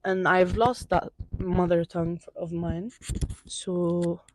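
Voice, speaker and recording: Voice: mid-range voice, level tone, slow pace, a little mellow, a little hiss or hum. A student from Syria answering interview questions on a campus outdoors, speaking hesitantly and searching for words.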